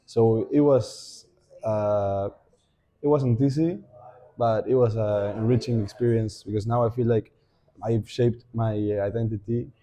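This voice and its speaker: A young man with an Argentine Spanish accent, Spanish as his first language, talking as a podcast guest in a thoughtful way, pausing to think and often restarting his sentences. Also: lowish voice, steady tone, dark tone. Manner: reflective, thinking aloud with many fillers and restarts. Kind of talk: casual podcast conversation.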